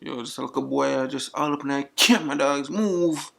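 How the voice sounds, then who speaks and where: A man's voice: medium pitch, normal speed, vocal fry, fairly bright tone. A man with a Jamaican patois accent on a webcam video chat, flirting and joking in heavy slang. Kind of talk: random video chat banter. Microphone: webcam video call.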